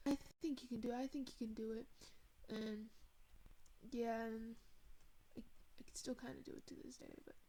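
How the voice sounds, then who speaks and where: A woman's voice: a little high, steady tone, normal speed, pauses often, warm tone, slight background noise, rough recording. A young woman from Thailand streaming a game, telling a story in a chatty, rambling way full of fillers and asides. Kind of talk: casual storytelling while gaming.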